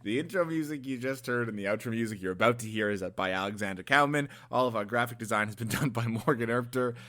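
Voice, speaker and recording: A man's voice: mid-range voice, fast, a bit bright. A man co-hosting a podcast in casual, joking interview chat. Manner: joking, playful. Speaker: podcast co-host.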